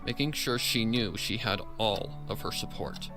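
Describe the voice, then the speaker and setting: A man's voice: on the low side, a little slow, music in the background. A man reading a scripted story aloud as a narrator. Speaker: fan fiction narrator.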